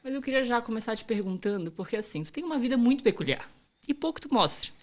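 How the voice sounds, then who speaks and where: A woman's voice: a little high, animated, fast. A woman hosting a podcast interview in a casual, upbeat, chatty way. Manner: upbeat, chatty, uses informal "tu". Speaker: podcast host.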